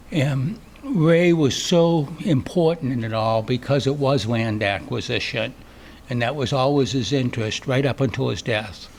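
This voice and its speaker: A man with a Boston accent speaks slowly and unhurriedly, accepting an award on a friend's behalf. His talk is folksy and joking as he addresses a crowd at an event. A faint room ambience sits under his voice. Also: mid-range voice, a little mellow, slight background noise. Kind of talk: informal acceptance speech. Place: event hall before an audience.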